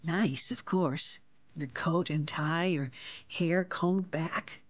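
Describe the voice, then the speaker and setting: An actress playing a gentle, affectionate woman in love in a radio drama, tender and softly playful. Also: deep voice, slow, no echo. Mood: gently curious.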